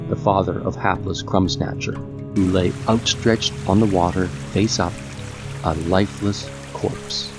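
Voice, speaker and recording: A man narrating an epic tale as a scripted, dramatized audiobook. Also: sits low. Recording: music and effects under the voice.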